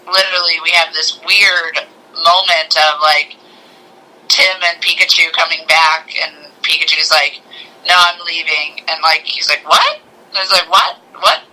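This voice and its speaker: A man's voice: fairly high pitch, a little slow, poor audio. A man co-hosting a podcast, chatting casually through a film review and acting out bits as the story is retold. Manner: animated retelling, mimics characters' lines in passing. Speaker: podcast co-host. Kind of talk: casual movie review chat.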